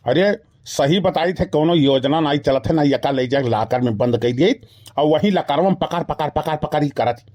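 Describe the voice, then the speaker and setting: A man's voice: fairly high pitch, normal speed, a little mellow, close to an everyday voice. A man voicing an exasperated, skinny henpecked village husband in a comedy cartoon, grumbling repetitively with an Awadhi accent. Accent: Awadhi, eastern Uttar Pradesh. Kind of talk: rustic comedy cartoon dialogue.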